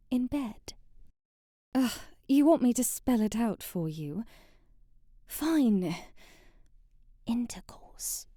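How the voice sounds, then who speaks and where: A woman's voice: on the high side, dark tone, no echo, clean studio sound. A woman playing a sheltered young princess in an intimate ASMR roleplay, with prim old-fashioned diction, a coy, hesitant manner and a haughty streak. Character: sheltered young princess, flustered, haughty, bookish and secretly curious.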